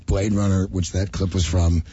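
A man's voice: sits low, level tone, moderate pace, mellow, breathy edge. A man co-hosting a comedy radio show, cracking jokes and telling stories. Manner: joking, wisecracking asides. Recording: dull lo-fi radio broadcast.

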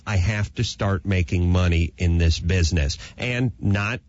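Middle-aged male podcast host, lowish voice, self-mocking storyteller, dry close sound. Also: a little slow, no room sound. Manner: animated, self-deprecating storytelling. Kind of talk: casual podcast chat. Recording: slightly dull, dry podcast audio.

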